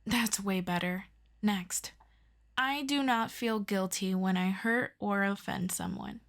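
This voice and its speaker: An earnest young superhero sidekick acted by a woman in an audio roleplay, talking straight to a close friend like a patient tutor. The voice is medium in pitch and takes its time, yet stays chatty, excitable and expressive. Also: slow. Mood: uneasy, trying patience. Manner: chatty and expressive, with interjections and sighs. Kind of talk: ASMR audio roleplay, scripted one-sided conversation.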